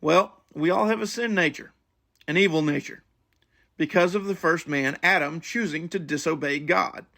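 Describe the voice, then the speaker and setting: A man's voice: fairly high pitch, normal speed, gravelly, pushed and bright. A man hosting a podcast, giving a scripted monologue in an earnest, explanatory way. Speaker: podcast host.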